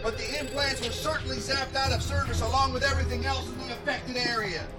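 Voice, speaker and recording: A man playing an urgent, dutiful operations technician in a sci-fi audio drama, reporting in brisk technical language. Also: sits high, steady tone, distant mic, loud music behind the voice, lightly acted.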